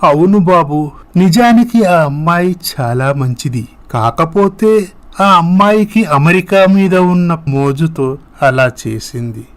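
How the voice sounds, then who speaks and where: A man performs a benevolent middle-aged father in a moral-story drama. He speaks in a measured way, reassuring and advising with a fatherly kindness. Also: fairly high pitch, expressive. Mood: persuading. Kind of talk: scripted moral-story voice acting.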